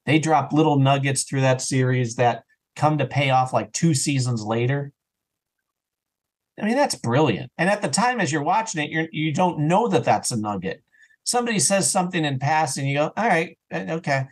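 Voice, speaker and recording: A man talking as a podcast guest in a casual, enthusiastic and animated way, slipping into imagined remarks as an audio drama creator. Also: a little high, normal speed. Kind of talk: casual enthusiastic interview chat.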